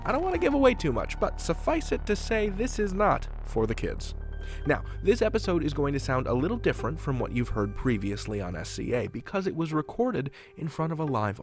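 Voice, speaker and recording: A man's voice: a little high, wildly swooping pitch, a little fast. A man hosting a podcast, reading a scripted introduction to an episode. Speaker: podcast host. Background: music.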